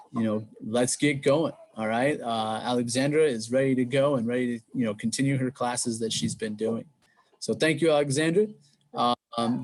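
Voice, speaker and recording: A man hosting an online meeting, upbeat and encouraging as he introduces speakers. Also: mid-range voice. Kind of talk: casual hosting.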